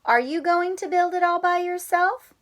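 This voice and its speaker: A woman voicing a gentle, caring frog mother in a children's story, soft and patient. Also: extremely high pitch, moderate pace, pitched above the performer's own voice.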